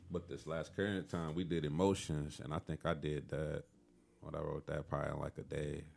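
A young man with a deep voice, talking as a musician guest in a calm, laid-back way. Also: fairly quick, gravelly. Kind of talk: casual interview chat.

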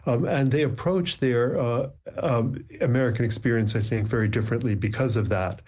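Man, native Ukrainian speaker, poet, medium pitch, reflective, measured phrasing, thoughtful literary delivery. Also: darkish tone, slight background noise. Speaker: poet, interview guest. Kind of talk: thoughtful conversation and poetry reading.

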